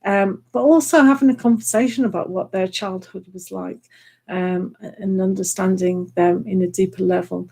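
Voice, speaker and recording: A woman reading tarot cards live for viewers, chatty and full of ums, her voice hoarse from hours of talking. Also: mid-range voice, steady tone, normal speed, mellow. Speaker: tarot reader.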